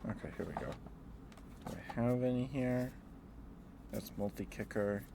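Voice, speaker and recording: A man's voice: lowish voice, normal speed. A man streaming rambling, hesitant ASMR chat in a meandering way, close to the microphone. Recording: clearly noisy room ambience.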